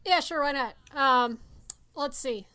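A woman's voice: sits high, slow pace, bright, forceful tone. A woman on a podcast panel, casually discussing film.

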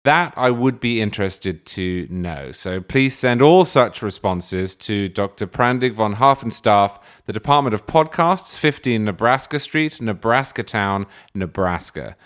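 A man's voice: on the low side, lively intonation, a little slow, hardly any pauses, a bit bright. A man hosting his podcast with a dry, wordy, mock-grandiose manner before interviewing a guest. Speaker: podcast host. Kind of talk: scripted comic monologue and interview.

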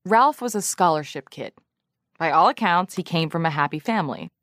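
A woman's voice: sits low, expressive, fairly quick, a bit bright, dry recording, studio quality. An actor playing a sardonic, businesslike woman journalist who hosts an investigative mystery podcast in an audio drama, pointed and articulate. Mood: measured, narrating. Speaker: investigative show host.

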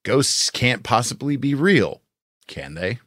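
A man's voice: mid-range voice, big pitch swings, slow pace, fairly bright tone, no echo, clean studio sound. A man co-hosting a podcast in a casual, conversational style. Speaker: podcast co-host reviewing audio dramas. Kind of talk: casual chat.

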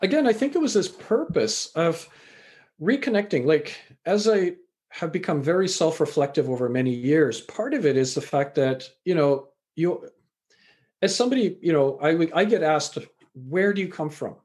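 Man, middle-aged, from Canada, higher-pitched voice, reflective, storytelling, fillers. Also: expressive, moderate pace, darkish tone. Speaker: author and university lecturer. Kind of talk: conversational interview answers.

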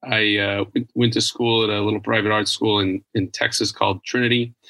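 A man's voice: on the low side, level tone, fairly quick, pushed and bright. A man from Idaho in his thirties, answering interview questions thoughtfully and often restarting his sentences. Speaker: business executive guest.

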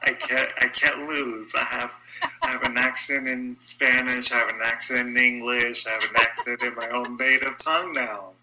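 A man's voice: mid-range voice, fairly even intonation, normal speed, heavy background noise. A young man from Brazil with a slight Brazilian accent, talking earnestly and candidly as an interview guest. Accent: Brazilian Portuguese. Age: young adult. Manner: earnest, candid, speaks in short repeated phrases. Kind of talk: interview conversation.

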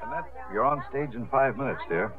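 An actor playing a suave, devoted theatre gentleman in a 1950s radio drama, heard on a tinny broadcast recording. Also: medium pitch, lively intonation, a little fast, lightly acted. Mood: wry, earnest.

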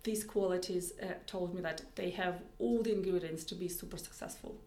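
A woman's voice: on the low side, a little fast, warm tone, reverberant. A woman with a Russian accent, a tech executive guest on a podcast, speaking thoughtfully with many restarts and repeated words. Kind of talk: podcast interview.

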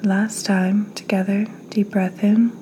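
A woman leads a guided meditation in a soft, calm voice. Her pace is slow and her pitch stays very even. The tone is mellow and gentle, often close to a breathy whisper. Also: slight background noise. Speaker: podcast host, meditation guide.

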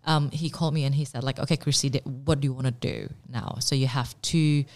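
Woman, chatty and animated. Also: a bass voice, a little fast. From Indonesia. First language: Indonesian. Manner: animated, many fillers and restarts, laughs readily. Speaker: pastry chef and business owner. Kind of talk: casual interview talk.

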